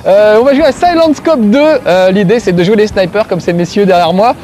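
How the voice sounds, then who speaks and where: A man's voice: high voice, brisk, a little mellow, slight background noise. A man with a Corsican accent, hosting a video game show and commenting as he plays, joking and mocking himself. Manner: joking, self-mocking, chatty. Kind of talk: casual gameplay commentary to camera. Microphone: close framing, tight on face.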